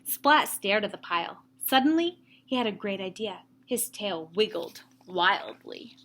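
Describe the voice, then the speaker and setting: A woman teacher reading a story aloud to young children, with expressive emphasis and explanatory asides. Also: mid-range voice, a little slow, noisy background.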